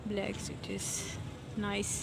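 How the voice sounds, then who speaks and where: A woman's voice: mid-range voice, unhurried, creaky. A woman vlog host chatting and bargaining while she films herself shopping at a busy outdoor market, friendly and teasing. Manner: friendly and teasing, asks prices. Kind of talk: casual chat and bargaining while filming. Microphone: handheld phone camera. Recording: phone recording in a noisy market.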